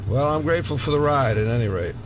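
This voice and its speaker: A man's voice: mid-range voice, lively intonation, fast talker, natural voice. A man playing a casual, polite stranded traveller looking for a ride in an audio drama. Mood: grateful. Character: stranded, friendly male traveller seeking a ride. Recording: clear outdoor vehicle and road noise.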